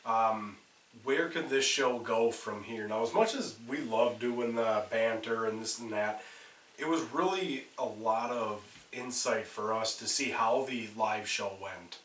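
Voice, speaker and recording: A man's voice: fairly low pitch, a little slow, fairly bright tone, roomy sound, recorded from a distance, slight background noise, rough recording. A man co-hosting a casual horror podcast, talking with a nasal stuffiness from a cold and swearing freely between restarts. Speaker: podcast co-host. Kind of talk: casual chat.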